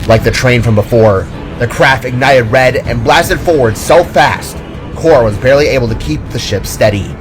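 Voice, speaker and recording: Guy reading dramatic audio drama narration, middle pitch, music going behind him. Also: moderate pace. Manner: dramatic storytelling.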